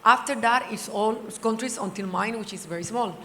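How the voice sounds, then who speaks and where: A middle-aged woman with a Cuban Spanish accent speaks on a panel in a long, ringing hall. There is some faint noise around her. She tells things in an animated, conversational way, at a middling pitch. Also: a little fast. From Cuba. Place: large indoor hall at a summit.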